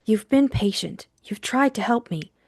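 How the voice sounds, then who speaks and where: A woman's voice: a little high, animated, measured pace, slightly rough, dry recording, clean studio sound, full character voice. A woman acting a frightened, secretive female client, timid and earnest, in an audiobook drama. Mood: meek, pleading.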